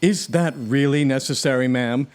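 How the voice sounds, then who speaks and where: A man's voice: medium pitch, moderate pace, a little mellow, clean tone, lightly acted. Man playing a polite, reasonable crewman. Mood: reasoning. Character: polite, reasonable male crew member deferring to his superior.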